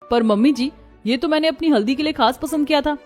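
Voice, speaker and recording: A woman plays a dutiful young daughter-in-law in a story drama. She is polite and somewhat high-pitched, over background music. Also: brisk, darkish tone, natural voice. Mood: polite pleading. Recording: music behind the voice.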